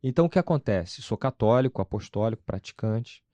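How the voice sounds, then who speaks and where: A man's voice: fairly low pitch, animated, a little fast, dark tone, no room sound, muffled recording. A man with a Carioca Brazilian accent, speaking as an interview guest in an emphatic, explanatory way, often asking and answering his own questions. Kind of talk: conversational testimony about personal faith.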